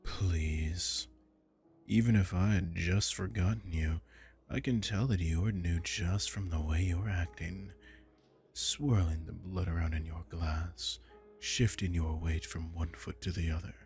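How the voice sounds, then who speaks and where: A man voicing a suave aristocratic male vampire lord in an ASMR roleplay, smooth and teasing. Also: low-pitched, a little mellow.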